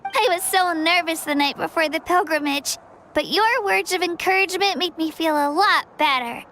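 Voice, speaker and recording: Friendly young girl adventurer for an anime game, super high voice, slight background noise. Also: moderate pace, bright, forceful tone, heavily acted. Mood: warm, admiring.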